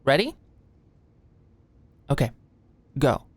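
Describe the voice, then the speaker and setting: A woman playing a sweet, anxious young medical student in an ASMR roleplay, speaking gently and a little awkwardly close to the mic. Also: very deep voice, sing-song, no room sound, exaggerated voice. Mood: focused, gentle. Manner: gentle, halting, clinical instructions slipping into shy fluster. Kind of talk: ASMR roleplay, soft close conversational delivery. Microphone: close microphone, dry sound.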